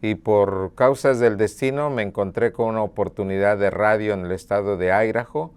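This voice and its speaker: A middle-aged man from Mexico, a local media director, telling his story in an earnest, measured documentary interview. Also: lowish voice, steady tone, clean tone. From Mexico (Puebla). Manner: earnest, measured, with hesitation fillers.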